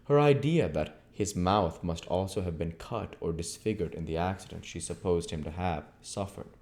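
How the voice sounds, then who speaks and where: A man with a low-pitched voice reads a story aloud. He narrates in an audiobook style, working through literary prose. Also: normal speed, darkish tone. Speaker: audiobook reader.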